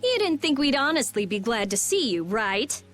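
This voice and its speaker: A woman voicing a blunt, prickly, sharp-tongued girl in a video game, curt and scornful. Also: a little high, big pitch swings, moderate pace. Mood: suspicious. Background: game music.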